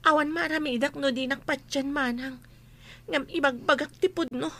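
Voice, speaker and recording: A woman's voice: sits high, steady tone, normal speed, fairly bright tone. An actress playing an aggrieved young woman pleading her case, with a Filipino accent, in radio comedy-drama style.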